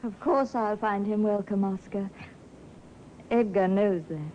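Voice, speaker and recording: An actress playing a persuasive, solicitous wife in a 1950s radio drama. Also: female voice, mid-range voice, steady tone, measured pace, natural voice. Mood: gracious. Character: persuasive, solicitous wife of an ailing older man. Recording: muffled, hissy old radio recording.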